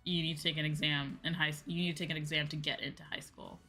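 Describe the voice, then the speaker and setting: A woman streamer chatting casually and answering viewers in an animated, conversational way, often repeating phrases for emphasis. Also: sits low, fast talker, poor audio. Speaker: VTuber streamer; high school teacher. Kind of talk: casual livestream chat, replying to viewers. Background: background music.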